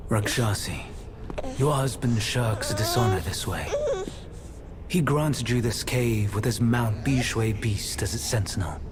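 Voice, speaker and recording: A man playing a calm secretive envoy. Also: lowish voice, a little slow, background noise, natural voice. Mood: persuasive. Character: calm secretive male envoy of a divine master. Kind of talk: game cutscene acting. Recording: music behind the voice.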